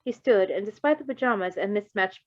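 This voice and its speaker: Woman reading fanfic out loud, expressive, chatty sweary asides, kinda muffled lo-fi mic. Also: mid-range voice, fairly quick. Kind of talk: reading fan fiction aloud with casual chatty asides. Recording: dull lo-fi microphone.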